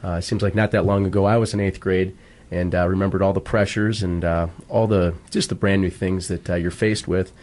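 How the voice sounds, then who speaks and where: Low-voiced man, a football coach being interviewed. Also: steady tone, darkish tone. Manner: earnest, halting. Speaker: middle school football coach. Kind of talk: radio interview.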